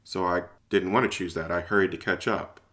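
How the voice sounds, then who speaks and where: A man's voice: deep voice, steady tone, fairly quick, a bit bright. A man performing first-person audio drama narration and dialogue in an informal, hesitant way, as if thinking aloud.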